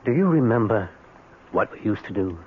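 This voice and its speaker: An actor playing a bitter, obsessed middle-aged husband in a 1970s radio drama, with insistent, repetitive, deliberate phrasing. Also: male voice, on the low side, lively intonation, husky, narrow, tinny audio. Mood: wistful, nostalgic. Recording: thin, band-limited, noisy old radio recording.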